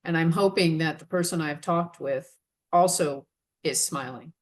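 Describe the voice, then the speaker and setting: A woman co-presents a webinar, explaining her points in a measured, earnest way. Her voice sits on the lower side for a woman. She slows down to lean on the key words she wants to land. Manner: measured, earnest, deliberate emphasis. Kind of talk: instructive webinar talk.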